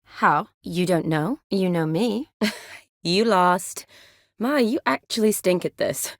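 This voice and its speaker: A woman's voice: on the low side, takes it slowly, dry recording, clean studio sound. A woman playing a spirited, caring young medic who is frank and teasing, in naturalistic video game dialogue. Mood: playful, teasing.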